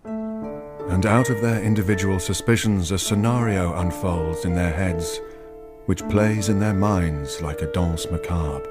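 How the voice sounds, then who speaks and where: An actor playing a predatory, brooding narrator in a radio drama, theatrical and ominous. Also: male voice, low voice, unhurried. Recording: loud plucked guitar music behind.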